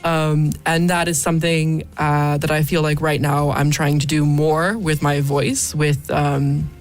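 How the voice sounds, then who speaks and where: Woman, 30s, American accent, low voice, hesitant, thoughtful, radio guest, faint music behind. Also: flat delivery, a little slow. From United States. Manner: thoughtful, hesitant, many ums and uhs. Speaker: poet, studio guest. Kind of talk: radio interview. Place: radio studio. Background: faint background music.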